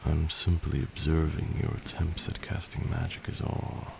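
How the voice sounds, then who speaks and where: A man playing a patient, stern vampire lord who teaches magic in a measured, formal ASMR roleplay. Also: a bass voice, creaky, background noise, heavily acted. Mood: wry, observing.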